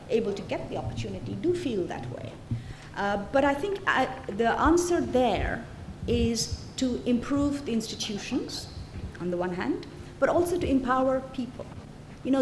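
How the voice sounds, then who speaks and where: Woman guest lecturer, measured explanations, a bit high, echoey hall with clear noise. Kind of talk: answering audience questions.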